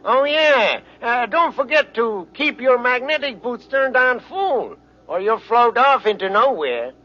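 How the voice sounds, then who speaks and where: An actor playing a smug space villain, sneering with mock politeness in an old-time radio serial. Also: male voice, very high voice, expressive, a little slow. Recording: dull, noisy old radio recording.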